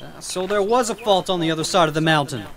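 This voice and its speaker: A man's voice: fairly high pitch, quick pace, fairly bright tone. A man voicing an eager expedition member in a horror manga dub. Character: eager expedition member exploring a mountain fault.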